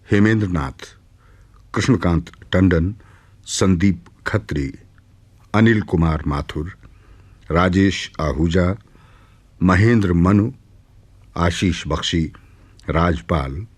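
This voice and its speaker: A man with a deep, husky voice reading formal scripted credits as a radio announcer. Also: a little mellow, no echo.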